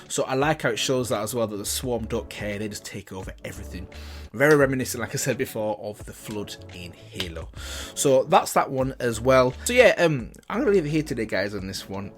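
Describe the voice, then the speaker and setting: A man's voice: lowish voice, expressive, fairly quick, soft background music. A man giving casual, enthusiastic reaction commentary as a host, often repeating himself for emphasis. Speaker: host of a reaction and review channel.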